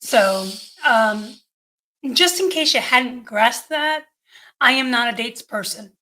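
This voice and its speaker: A middle-aged woman chats casually as a podcast co-host. Her voice sits a little high and has a sharp, bright edge. She is plain-spoken and teasing. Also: expressive, slow, rough recording. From New Hampshire, United States. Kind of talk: casual conversation.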